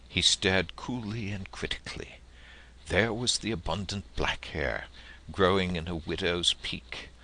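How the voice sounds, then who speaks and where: Man, audiobook narration, deliberate theatrical phrasing, lively intonation, bright forceful tone. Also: sits low, vocal fry, rough recording. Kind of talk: expressive literary narration and verse reading.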